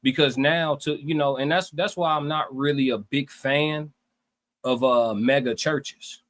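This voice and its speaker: A man's voice: medium pitch, steady tone, a little slow, fairly bright tone. A man co-hosting a livestream, talking casually through his opinions, stacking points in lists and checking that listeners follow. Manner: repetitive, builds points in lists, checks in with "you know what I'm saying". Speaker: livestream co-host.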